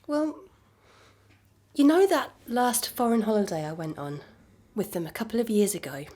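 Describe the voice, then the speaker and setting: Calm, wry ex-girlfriend. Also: fairly high pitch. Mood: reminiscing. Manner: measured, dry, matter-of-fact. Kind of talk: naturalistic audio drama acting.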